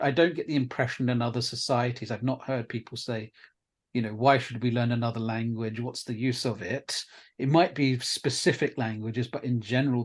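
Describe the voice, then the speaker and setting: A man's voice: mid-range voice, slightly rough. A man interviewing a guest in a casual conversation, reflective and hedging often. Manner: reflective, hedging with "sort of" and "I mean".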